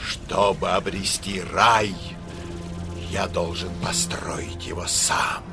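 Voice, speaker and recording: Cartoon supreme-ruler villain: solemn, slow, gravelly, music underneath. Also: male voice, deep voice, fairly even intonation, heavily acted. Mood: solemn menace. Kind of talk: cartoon dub acting.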